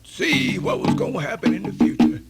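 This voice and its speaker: An aged folktale storyteller acted by a man speaks slowly in a very high, gravelly voice over prominent music. He is weary but proud and defiant, folksy and solemn, drawing out his words like an orator in radio theatre. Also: wildly swooping pitch, exaggerated voice. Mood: folksy, wise. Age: older. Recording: music playing under the voice.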